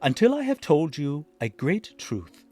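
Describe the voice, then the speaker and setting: A man's voice: on the high side, huge pitch swings, measured pace, a little mellow, no echo, soft background music, pitched above the performer's own voice, heavily acted. A man doing a stern old cricket.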